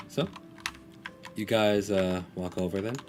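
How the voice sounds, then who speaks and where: A man's voice: on the low side, slow pace, a little hiss or hum. A man acting as game master, narrating casually and a little hesitantly as he improvises.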